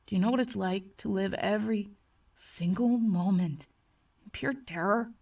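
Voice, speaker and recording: A woman's voice: mid-range voice, normal speed, vocal fry, a little mellow, far from the mic. A woman voicing an agitated, tormented young male patient in a horror story, tight and bitter, struggling to keep composure. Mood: resigned, fearful. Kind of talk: acted horror story dialogue.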